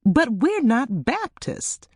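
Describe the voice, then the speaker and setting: A woman's voice: a little high, very animated, slow, breathy edge, dry recording, studio quality, pitched above the performer's own voice, full character voice. A woman voicing an ambitious, insistent wife and mother in an audiobook, with an eager, questioning manner. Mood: puzzled, probing.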